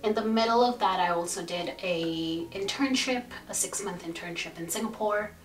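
A woman's voice: medium pitch, moderate pace, echoey room, far from the mic, poor audio. A young woman from India in her twenties, telling her story fluently and thoughtfully in an interview. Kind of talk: casual interview answers. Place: plain indoor room.

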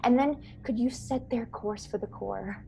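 A young woman playing an earnest, courteous starship officer in a radio play, measured, polite and gently persuasive. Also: on the high side. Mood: polite, wistful. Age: young adult. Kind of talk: radio play acting over video call. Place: small bedroom, indoors. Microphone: earbuds on a video call. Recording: clear background noise on video call.

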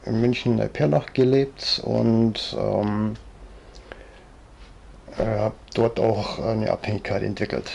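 A man in his fifties with a strong Bavarian accent, chatting from an armchair into a distant webcam in a low-quality home recording. His voice is gravelly and dark, a little husky, and he rambles from one thought to the next with plenty of fillers. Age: 50s. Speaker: vlogger. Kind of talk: casual storytelling monologue, reading aloud. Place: living room, seated in armchair.

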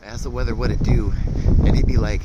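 A man's voice: medium pitch, fairly even intonation, a little fast, muffled recording, heavy background noise. A man talking in a loose, joking, improvised way. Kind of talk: casual improvised rambling.

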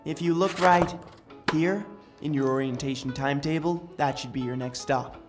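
A woman playing a brisk, knowledgeable guide in a fantasy comic dub, explaining things in a matter-of-fact way. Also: low voice, faint music behind, close to an everyday voice.

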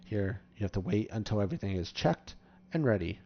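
A man with a fairly low voice walks through a game as tutorial host, casual and instructional. The recording is a little dull. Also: expressive, a little fast. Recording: slightly dull, muffled microphone.

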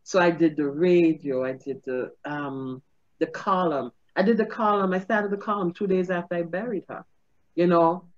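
A middle-aged woman, a comedian, telling stories in an animated interview over a webcam from her home, laughing often. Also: on the low side, normal speed. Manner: expressive, gestures a lot, laughs often. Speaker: comedian and guest. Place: home room with ceiling fan. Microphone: video call webcam. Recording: dull lo-fi video call audio.

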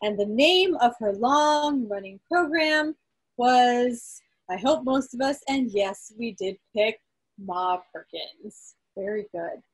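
A woman with a fairly high, slightly husky voice reads out quiz results as host. She speaks in a measured, emphatic way, recorded over a group video call. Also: animated, rough recording. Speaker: quiz host at a club meeting.

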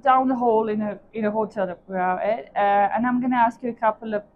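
A woman's voice: on the high side, a little fast, narrow, tinny audio. A young woman hosting a casual interview while strolling indoors. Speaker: host. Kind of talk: casual walk-and-talk interview. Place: large indoor hotel hallway and walkway, while walking. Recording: clearly noisy hallway ambience.

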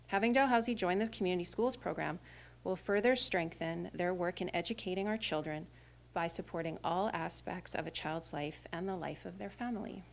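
A woman's voice: on the low side, fairly quick, gravelly, slight background noise. A woman school trustee giving a prepared greeting speech at a podium in a school classroom, formal with small fillers. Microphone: podium microphone.

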